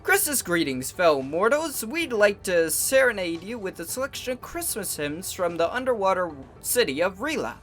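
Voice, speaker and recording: A man putting on a smug, cheerfully menacing male elder god from a video game, pompous and theatrical in delivery. The voice swings up and down in lively, animated sweeps, with a faint game melody playing underneath. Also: high voice, moderate pace, hardly any pauses, faint music behind. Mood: grandiose.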